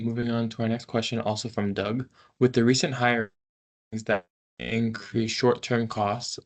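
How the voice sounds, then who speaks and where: A man moderating a business call, reading written investor questions aloud in a formal question-and-answer format. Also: lowish voice.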